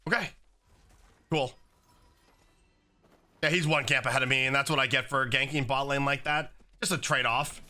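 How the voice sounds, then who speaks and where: A young man with a slightly raspy voice from being sick, chatting casually while commenting on his own gameplay at a desk setup. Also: medium pitch, fairly even intonation, moderate pace, pauses often, sharp tone. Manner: casual, chatty, many fillers like "like" and "um". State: slightly raspy, recovering from illness. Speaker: gaming YouTuber. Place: home gaming setup, indoors.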